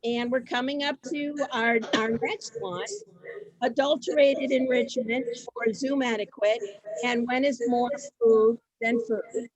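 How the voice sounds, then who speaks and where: A woman hosting an online event over a video call, chatting informally and haltingly, often repeating her phrases. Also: on the high side, fairly even intonation, muffled recording. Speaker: host of an online reader's theater event.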